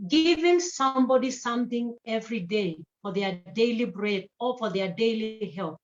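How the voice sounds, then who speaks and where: A woman's voice: a little high, lively intonation, normal speed, highs cut off. A woman from Kenya speaking earnestly as a guest in a panel talk, repeating herself and restarting phrases. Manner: earnest, repetitive, with many restarts. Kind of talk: panel talk answering questions.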